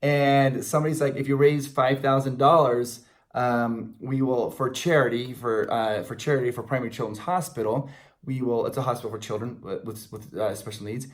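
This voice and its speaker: A man's voice: mid-range voice, steady tone, a little fast. A middle-aged man with an American accent, chatting casually and telling stories to fans as a video co-host, often rephrasing himself. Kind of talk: casual chat and storytelling to fans. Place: small indoor room in front of a logo banner.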